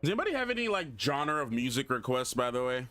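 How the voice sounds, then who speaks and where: Young Guyanese American man, mid-pitched voice, casual gaming chatter, muttering to himself, dry close mic. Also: very animated, fast, pushed and bright, dry recording. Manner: chatty, thinks aloud, mutters. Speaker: gaming streamer. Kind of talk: casual gameplay commentary.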